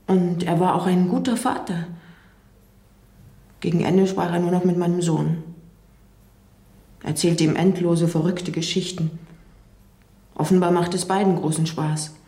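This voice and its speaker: Pensive grown daughter, mellow-voiced. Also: female voice, on the low side, level tone, a little slow, long pauses, roomy sound. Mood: reflective. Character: grown daughter recalling her withdrawn late father, pensive. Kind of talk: radio play monologue.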